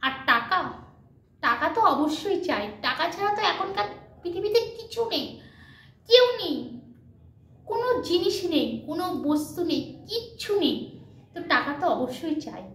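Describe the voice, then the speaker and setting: A woman's voice: very high voice, fairly bright tone. A woman chatting motivationally to her followers, emphatic, repeating her points and mixing in English words. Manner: mixes English words into speech. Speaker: video blogger. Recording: echoey room with faint background noise.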